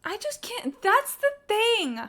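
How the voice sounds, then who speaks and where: A woman's voice: very high voice, very animated, slow, fairly bright tone. A woman giving casual live game-stream commentary in a sarcastic, exasperated, sweary manner. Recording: game music behind.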